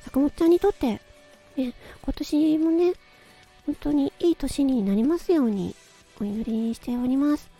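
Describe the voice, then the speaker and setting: A woman presents a personal audio broadcast in a high, soft-toned voice with a mellow edge. She talks politely and effusively, leaning on words for emphasis, with faint music behind her. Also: slightly rough. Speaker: host of a personal audio show. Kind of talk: chatty solo audio broadcast, birthday tribute.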